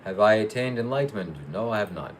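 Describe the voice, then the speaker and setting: A man hosting a casual live Q&A, reading viewer questions aloud and answering them briefly in a matter-of-fact, low-key way. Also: animated, quick pace, a little hiss or hum, poor audio. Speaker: livestream host.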